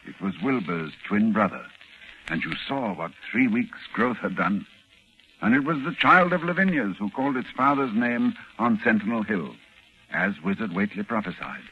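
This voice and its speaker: An actor playing a grave, scholarly doctor who explains horrors solemnly and haltingly in 1940s radio acting. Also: male voice, fairly low pitch, expressive, phone-quality sound, natural voice. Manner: hesitant, repeating phrases, solemn. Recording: band-limited 1945 radio recording.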